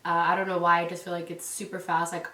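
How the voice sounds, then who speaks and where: A young woman with a low-set voice chats casually at home, rambling and filling her talk with "like" and "um." She sounds stuffy and congested from a cold. The echoey room and her distance from the mic give it a loose, hollow sound. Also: completely flat delivery, fast talker, bright, forceful tone. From California. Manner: rambling, many "like"s and "um"s. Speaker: parenting vlogger. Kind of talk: casual chatty vlog. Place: indoors at home.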